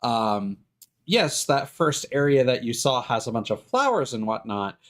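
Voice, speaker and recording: Man, mid-pitched voice, casual streamer, halting delivery, opinionated rambling. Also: very animated, fairly bright tone. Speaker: live streamer.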